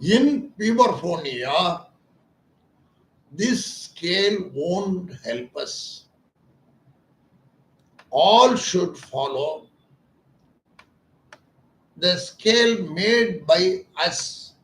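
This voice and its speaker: Slow earnest doctor, echoey room. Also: male voice, high voice, expressive, fairly bright tone. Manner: earnest, emphatic, repeats points as questions. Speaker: ENT doctor treating voice disorders. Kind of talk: explanatory talk about a clinic's treatment.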